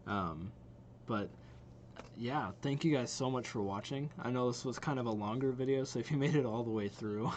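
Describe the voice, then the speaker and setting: A young man hosts a reaction video in a casual, rambling style. His voice sits at an average pitch for a man. There is faint noise and hum behind him. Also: normal speed, darkish tone.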